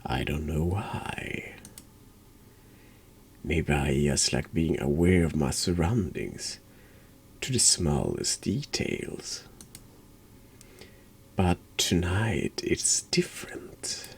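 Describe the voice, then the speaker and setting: A man congested with a cold, hosting a horror game playthrough in a hushed ASMR style, halting and sometimes dropping into whispers. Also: rumbling low, sing-song, vocal fry, breathy edge. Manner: slow, halting, drops into whispers. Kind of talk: hushed ASMR-style game commentary and read-aloud narration.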